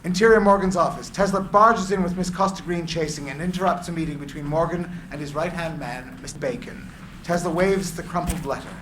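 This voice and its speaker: A man's voice: a little high, fairly quick, fairly bright tone. A man reading stage directions as scripted narration for a screenplay. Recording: echoey room with clear background noise.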